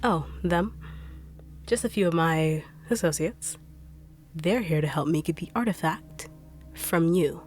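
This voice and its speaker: A treacherous bodyguard turned villain, mocking and cold, her lowish voice dropping to quiet threats, as a woman plays her in an audio drama. Faint music plays underneath. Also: measured pace, long pauses. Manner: taunting, cold, sneering with quiet menace. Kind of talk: audio roleplay acting.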